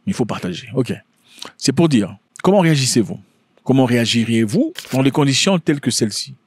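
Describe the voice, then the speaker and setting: A man's voice: medium pitch, big pitch swings, brisk, darkish tone, breathy edge, no echo. A man whose first language is not French, commenting on a news video in a casual style, asking many rhetorical questions and often restarting his words.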